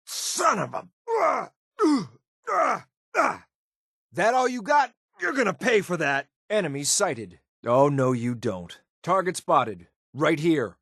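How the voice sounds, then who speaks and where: A man acting as a stoic, loyal but ruthless synthetic soldier in a video game, with a clipped, military, deadpan delivery. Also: sits high, gravelly, husky, no echo, studio quality, exaggerated voice. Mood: pained, strained, then urgent, aggressive. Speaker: companion character in a video game. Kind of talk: scripted game voice lines.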